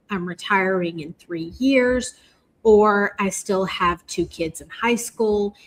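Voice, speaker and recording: A woman's voice: medium pitch, low-quality recording. A woman hosting an interview, asking casual, friendly questions. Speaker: podcast host.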